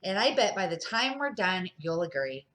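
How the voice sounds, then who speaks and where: A woman's voice: on the low side, sing-song, normal speed, fairly bright tone. A woman reading the part of a kindly, wise older man, a storybook neighbor who explains things in an encouraging way. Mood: earnest teaching.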